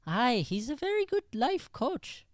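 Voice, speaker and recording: Boastful Irish lad, man acting: eager, vain, clear voice, dry radio sound. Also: very high voice, sing-song, unhurried, no echo, full character voice. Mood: earnest. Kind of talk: improvised old-time radio comedy acting.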